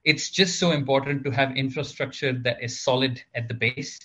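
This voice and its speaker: A man from Malaysia and Singapore, a technology executive answering interview questions in a measured way with frequent fillers. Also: mid-range voice, fairly even intonation, fairly bright tone. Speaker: guest, technology consulting executive. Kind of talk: business interview.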